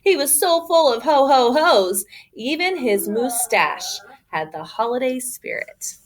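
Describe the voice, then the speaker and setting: A woman reading a children's picture book aloud as a storyteller, with an expressive, emphatic, theatrical delivery. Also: sits high, measured pace, a little hiss or hum.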